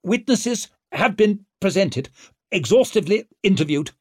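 Man as an officious, straining court official, pitched up. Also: expressive, moderate pace, no echo, clean studio sound. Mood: officious, raised. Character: Bruckle and Glockter, officious court officials straining over uproar. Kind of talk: audiobook character acting.

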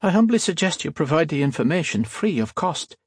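A reedy, high, mocking villain with an Irish lilt, as a male narrator puts him on. He drawls his threats slowly and with cold politeness. The sound is dry and close. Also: very animated, husky, dry recording, heavily acted. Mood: smug warning.

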